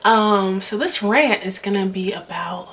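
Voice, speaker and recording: A woman's voice: mid-range voice, measured pace, a bit bright, a little hiss or hum. A woman in her twenties from Nigeria, ranting casually to camera with lots of fillers and restarts. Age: 20s. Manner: rambling, many fillers and restarts. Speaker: vlogger.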